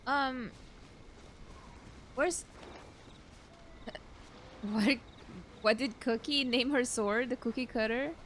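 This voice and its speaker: A woman's voice: on the high side, slow pace, pauses often, a bit bright, a little hiss or hum. A young woman giving casual let's play commentary, thinking aloud in a giggly, self-deprecating way. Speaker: streamer playing a game. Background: game music.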